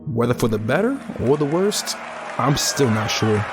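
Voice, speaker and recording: A man's voice: medium pitch, very animated, normal speed, over a noisy crowd, lightly acted. A man playing a weary, reflective young betting clerk in a post-apocalyptic audio drama, speaking in a subdued, polite way. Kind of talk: audio drama narration and dialogue. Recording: music under the voice. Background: music.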